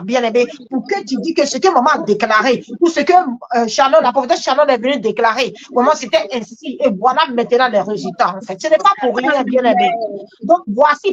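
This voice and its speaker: High-voiced woman exhorting a congregation. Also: highs cut off. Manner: emphatic, repeats phrases. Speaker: church host and exhorter. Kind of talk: animated charismatic church exhortation.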